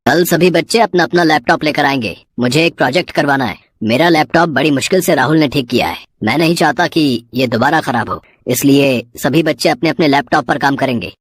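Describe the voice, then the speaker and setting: Woman voicing a stern male teacher, low. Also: a little fast, few pauses, husky, dry recording, clean studio sound. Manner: strict, scolding. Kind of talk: cartoon voice acting.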